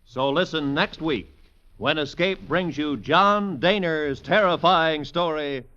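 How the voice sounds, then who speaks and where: A man's voice: on the high side, slow pace, pushed and bright, clean tone. An American man reading scripted credits and promos as a polished, punchy 1950s radio announcer. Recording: dull vintage radio, faint music.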